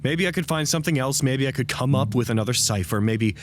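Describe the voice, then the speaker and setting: An actor playing an earnest, weary radio broadcaster at a trapped research site in an audio drama, speaking formally and wistfully. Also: male voice, medium pitch, quick pace, faint music behind, natural voice. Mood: defeated, stubborn.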